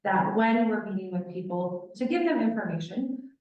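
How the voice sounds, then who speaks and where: A woman's voice: mid-range voice, expressive, normal speed, muffled recording. Woman presenting in a very echoey room. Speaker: training presenter, victim service provider. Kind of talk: informal presentation with audience questions. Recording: very echoey room, distant mic.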